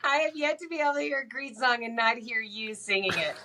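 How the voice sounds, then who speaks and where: A woman's voice: on the high side, fairly quick, sharp tone, a little hiss or hum. A woman co-hosting a podcast in casual comedic banter, speaking in an emphatic, chummy way. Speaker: podcast co-host.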